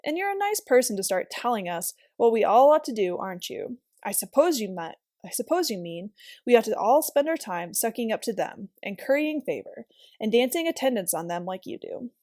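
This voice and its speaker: A woman's voice: on the high side, sing-song, brisk, darkish tone, dry recording, clean studio sound. A woman voicing an upset, hot-tempered schoolgirl with blunt, old-fashioned phrasing in a storybook reading. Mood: angry, scolding.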